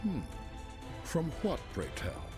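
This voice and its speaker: An actor playing a courteous, gently formal leader in a video game cutscene. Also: male voice, a little high, huge pitch swings, takes it slowly, music in the background, heavily acted. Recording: game cutscene over a score.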